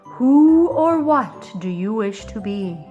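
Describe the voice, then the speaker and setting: A woman voicing a mysterious magical lizard in a storybook, with a solemn, drawn-out delivery. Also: fairly high pitch, sing-song, highs cut off, soft background music. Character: mysterious magical male lizard granting wishes. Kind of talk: children's storybook read-aloud.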